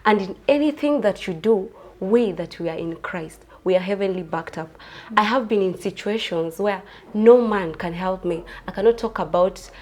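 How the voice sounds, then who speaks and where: A woman in her twenties from Kenya, a pageant contestant answering interview questions in an earnest, soft-spoken way. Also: mid-range voice, moderate pace. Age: 20s.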